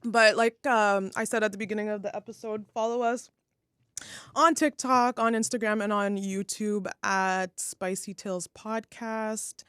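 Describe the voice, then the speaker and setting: A woman's voice: mid-range voice, fairly even intonation, measured pace, a bit bright, clear, resonant tone, no echo, clean studio sound. A young adult woman co-hosting a casual podcast, chatting with lots of filler words. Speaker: podcast co-host. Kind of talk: casual chat.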